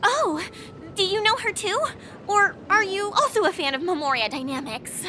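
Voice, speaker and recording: A woman's voice: very high-pitched, lively intonation, moderate pace, pushed and bright, a little hiss or hum, heavily acted. A woman voicing an earnest young female scholar in a video game, formal and full of enthusiasm. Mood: eager. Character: earnest, eager young female scholar devoted to her idol.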